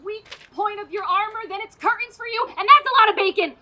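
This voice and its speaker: A woman's voice: extremely high pitch, brisk, bright, forceful tone, full character voice. A woman playing a brash, swaggering mayor turned action hero, bombastic and hammy in a comic stage radio play. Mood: gloating. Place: outdoors in a parking lot. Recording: outdoor stage recording, noisy background.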